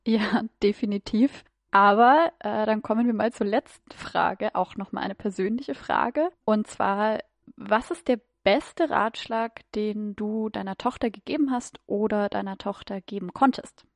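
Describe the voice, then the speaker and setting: A woman's voice: mid-range voice, unhurried, no echo, studio quality. A woman interviewer asking a personal closing question in a friendly way.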